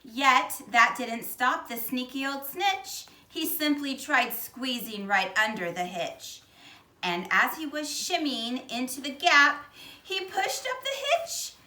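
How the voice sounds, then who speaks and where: A woman reading a children's picture book aloud in an expressive, deliberate way, with dramatic emphasis. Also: high voice, recorded from a distance. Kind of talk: animated children's storybook read-aloud. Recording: echoey, roomy sound.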